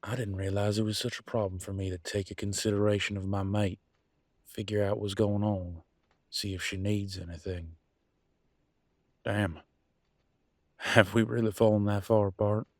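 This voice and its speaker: A man acts out a deep-voiced werewolf pack leader in a relationship drama, worn down and bitter. He talks in a folksy, colloquial way with a sarcastic edge and deliberate pauses. The sound is close and dry. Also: flat delivery, darkish tone, no echo. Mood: weary, sarcastic. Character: weary, embittered male werewolf pack leader and husband. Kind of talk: dramatic one-sided relationship roleplay audio.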